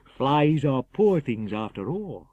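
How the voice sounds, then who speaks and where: A man's voice: medium pitch, big pitch swings, slow pace, dark tone, no echo, lo-fi sound. A man voicing an agitated, cunning asylum madman, superior and evasive, in a theatrical, erratic and insistent manner for an audiobook. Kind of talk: dramatised audiobook character voice.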